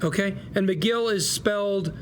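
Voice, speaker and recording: A man's voice: sits high, measured pace, a little hiss or hum. An actor playing an eager, persuasive small-time lawyer at a table read, with salesman patter and hesitant asides. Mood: dictating. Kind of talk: live table read of a TV script.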